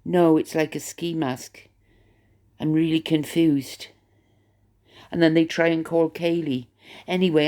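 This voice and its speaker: A Welsh-accented vlogger talks through the day's gossip in a loose, rambling way. The voice sits at an ordinary middle pitch, chatty and stop-start, often doubling back and repeating words while thinking out loud. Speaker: channel host. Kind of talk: rambling gossip commentary to camera.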